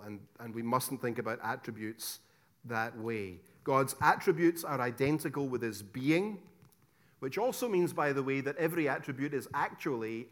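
A middle-aged Scottish man giving a theology lecture, stressing key words loudly, dropping to quiet asides and asking rhetorical questions. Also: mid-range voice. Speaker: preacher teaching theology.

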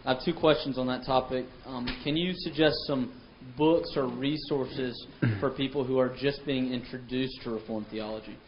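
A man's voice: medium pitch, steady tone, normal speed, dull audio, slight background noise. A man interviewing a guest, posing prepared questions hesitantly with many fillers and restarts.

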